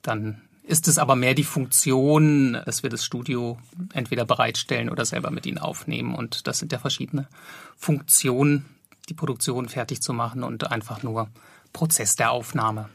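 A man speaking as an interview guest, explaining his work in relaxed everyday conversation. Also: medium pitch, moderate pace, gravelly, husky, no echo. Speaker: interview guest, audio drama producer and composer.

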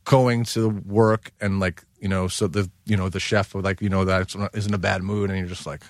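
Rambling low-voiced man chef, dry sound. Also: little pitch movement, moderate pace, no echo. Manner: rambling, full of "like" and "you know". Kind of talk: casual chat.

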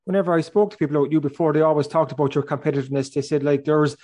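A man's voice: on the high side, steady tone, very fast, a little mellow. A man with an Irish accent hosting a sports interview, repeating phrases as he builds long, conversational questions. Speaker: sports podcast host.

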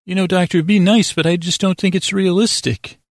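A man's voice: high voice, steady tone, fairly quick, no room sound, clean studio sound, pitched above the performer's own voice. A man voicing a prim, apologetic institute representative who stammers as he politely turns people down. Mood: flustered, politely refusing. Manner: hesitant, stammering, politely dismissive. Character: prim, apologetic bedtime story institute representative turning down requests.

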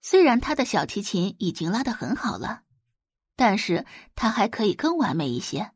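Expressive woman narrator, very dry recording. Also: mid-range voice, moderate pace, husky, no echo. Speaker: audiobook narrator. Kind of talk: scripted dramatic narration.

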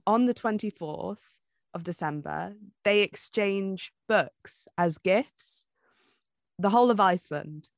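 A woman's voice: mid-range voice, slow, creaky, no room sound, studio quality. A woman podcast co-host chatting casually and informally, telling things in a conversational, storytelling way. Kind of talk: casual book podcast chat.

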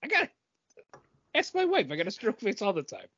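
A man's voice: high-pitched, huge pitch swings, a little fast, sharp tone, breathy edge, dry recording, lo-fi sound. A man co-hosting a podcast, speaking casually in informal conversation. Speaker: podcast co-host. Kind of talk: casual chat.

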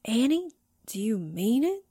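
Woman acting high-voiced, excitable reporter sidekick. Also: unhurried, husky, no echo, clean studio sound, full character voice. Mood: eager, impatient. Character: eager, excitable, slangy newspaperwoman sidekick. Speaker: actor in an amateur radio drama re-creation. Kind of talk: 1940s radio acting.

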